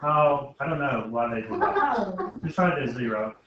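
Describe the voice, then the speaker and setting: A man's voice: medium pitch, lively intonation, normal speed. A man teaching a class informally, often asking questions and checking that students understand. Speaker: algebra teacher. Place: indoor school classroom. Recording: very echoey noisy classroom, distant muffled mic.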